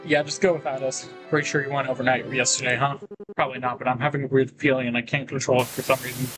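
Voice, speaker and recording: A man hosts a gaming video, reading a visual novel's dialogue aloud. He acts out the characters' lines as he goes, in a medium-pitched voice. Game music plays behind him. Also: flat delivery, quick pace.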